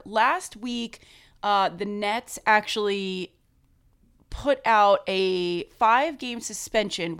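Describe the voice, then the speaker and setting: A woman's voice: mid-range voice, a bit bright. A woman co-hosting a casual sports podcast, upbeat and encouraging, with fillers when explaining. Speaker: podcast co-host.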